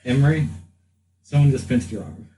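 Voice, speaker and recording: A man's voice: medium pitch, animated, moderate pace, warm tone, distant mic. A man narrating a tabletop game casually as game master. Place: indoor room with other players. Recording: echoey room, off mic, faint noise.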